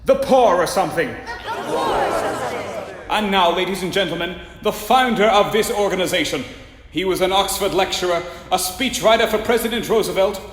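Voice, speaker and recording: Man acting a high-pitched, pompous gala announcer. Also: a bit bright. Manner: ceremonious, pompous. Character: pompous, vaguely insincere male charity gala announcer. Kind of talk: public announcement in a radio play. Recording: echoey hall, faint noise.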